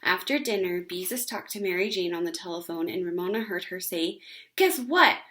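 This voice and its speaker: A woman reading a children's book aloud as an expressive storyteller. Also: on the low side, fairly quick.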